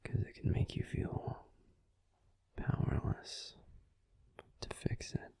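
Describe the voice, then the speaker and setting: Casting: male actor for a tender, grumpy young werewolf boyfriend in a bedtime audio roleplay, whispered, soothing, very slow delivery. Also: lightly acted. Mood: soothing, drowsy. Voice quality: breathy whisper. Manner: gentle, soothing, unhurried reassurance with pauses. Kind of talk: whispered ASMR sleep-aid roleplay. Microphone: close, dry microphone.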